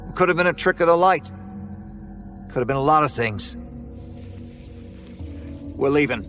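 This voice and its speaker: A man telling a first-person horror story in a tense, measured way. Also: fairly high pitch, lively intonation, fairly bright tone, soft background music. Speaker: narrator.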